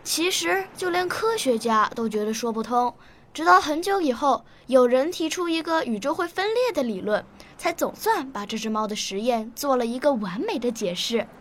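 A woman's voice: high voice, animated, normal speed, a little hiss or hum. An actress playing a young, curious, bookish schoolgirl in a Chinese radio drama. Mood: curious, earnest.

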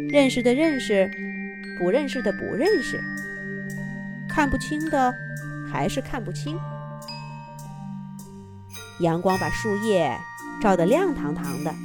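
Expressive woman reading a children's story. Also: fairly high pitch. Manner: gentle, expressive storybook delivery. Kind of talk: scripted children's story narration.

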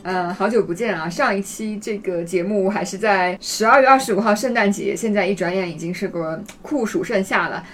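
A woman speaks as a podcast host in a casual, conversational style. Her pitch is mid-range, and there is music faintly behind her voice. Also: brisk, few pauses.

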